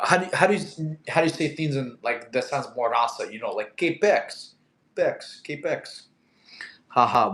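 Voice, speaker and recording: Thirties male streamer, Russian native, chatty and easygoing, mid-pitched, roomy echo. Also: a bit bright. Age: 30s. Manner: laid-back, chatty, laughs often, talks to his cat. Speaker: livestream host. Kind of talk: casual live chat reading comments. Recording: echoey room.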